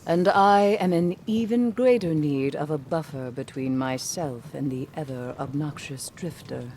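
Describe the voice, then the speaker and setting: An actress playing a solemn, brooding mystic scholar in a video game, with formal, theatrical, dryly sardonic phrasing. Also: female voice, low-pitched, measured pace, dark tone, a little hiss or hum. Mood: weary, sardonic. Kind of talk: video game cutscene acting.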